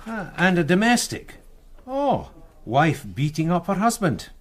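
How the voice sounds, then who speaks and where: An actor playing a tired, dutiful police sergeant, deferential but grumbling, in a radio crime drama. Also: male voice, high voice, very animated, a little slow, full character voice. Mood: weary.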